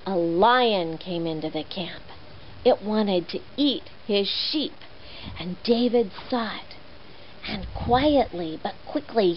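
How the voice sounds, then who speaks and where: Woman storyteller for kids, expressive, fairly high voice, lo-fi recording. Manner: animated, expressive, addressing children. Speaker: children's storyteller. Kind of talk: children's Bible storytelling. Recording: muffled lo-fi recording, faint noise.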